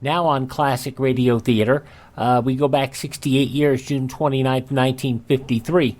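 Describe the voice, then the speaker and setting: A man presents a classic radio program in a casual, unscripted way. His voice sits at an ordinary pitch, and he talks haltingly with pauses between phrases. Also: fairly even intonation, a little mellow. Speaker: radio host. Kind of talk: casual presenter talk, introducing old radio shows.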